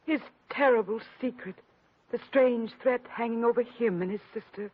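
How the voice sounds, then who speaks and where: Woman acting distraught devoted lover, husky, plaintive, overwrought, dry muffled old radio drama. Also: on the high side, moderate pace, no echo, close to an everyday voice. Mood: dramatic, anxious. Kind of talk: 1940s radio melodrama acting. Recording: dull vintage radio recording.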